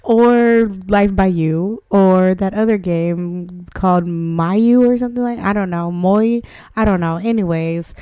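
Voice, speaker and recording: Hoarse chatty woman game tutorial host. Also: mid-range voice, unhurried, dark tone, no echo, studio quality. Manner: chatty, rambling, self-deprecating. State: hoarse from flu, voice not back. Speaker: gaming channel host. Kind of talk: casual game tutorial narration.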